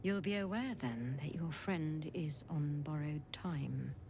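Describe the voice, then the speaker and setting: A woman's voice: low-pitched, takes it slowly, noisy background. A woman playing a haughty, clinical alien infirmary healer in a fantasy video game, coldly curious and condescending. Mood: coolly curious. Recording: music behind the voice.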